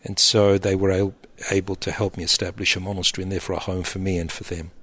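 A middle-aged man, an abbot speaking in an interview in a thoughtful, halting way with frequent restarts. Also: deep voice, level tone, fairly bright tone, breathy edge. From Egypt, raised in Australia. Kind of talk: reflective interview answers.

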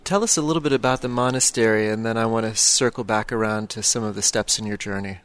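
A man, a priest, hosting an interview with measured, courteous questions. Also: lowish voice, steady tone, a bit bright.

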